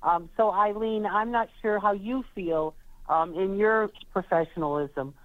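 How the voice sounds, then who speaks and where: Halting woman attorney on a tinny phone line. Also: medium pitch, no echo. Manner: halting, many fillers and restarts. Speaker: attorney, guest. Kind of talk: radio interview.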